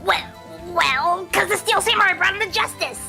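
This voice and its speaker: A woman voicing a brash, excitable young boy witness in a fan-dubbed courtroom drama, with cheeky, slangy delivery. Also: extremely high pitch, moderate pace, soft background music, heavily acted. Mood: flustered. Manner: cheeky, slangy, stammers when cornered. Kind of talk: fan dub courtroom game acting.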